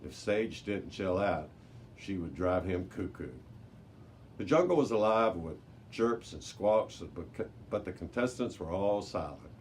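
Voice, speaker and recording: Low-voiced man reading a children's story. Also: expressive, unhurried. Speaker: library story reader. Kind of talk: children's audiobook narration, read aloud. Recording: noticeable background noise.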